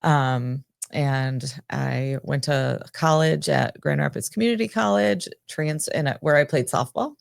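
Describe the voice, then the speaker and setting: A woman in her forties from Michigan, a nonprofit director, telling her life story in a relaxed, friendly way as an interview guest. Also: low-pitched, unhurried, creaky, no echo, studio quality. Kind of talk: casual interview.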